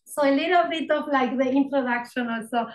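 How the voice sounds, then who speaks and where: A woman's voice: sits high, brisk, reverberant, rough recording. A woman from Patagonia with a thick Argentine accent, a field ecologist giving a friendly, conversational research talk with frequent fillers. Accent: Argentine Spanish. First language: Spanish. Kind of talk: academic lecture with slides.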